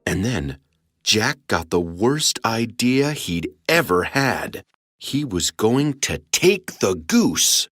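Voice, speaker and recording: A woman with a low voice reads a children's story. Her delivery is slow and full of lively rises and falls, and the sound is close and dry. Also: no echo, soft background music. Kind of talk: expressive children's storybook narration.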